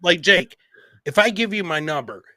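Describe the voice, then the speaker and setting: A man's voice: high voice, lively intonation, normal speed, pushed and bright, no room sound. A man from Michigan with an American accent, hosting a casual live podcast chat in a blunt, brash way and swearing freely. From Michigan, United States. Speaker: host of a live comedy podcast.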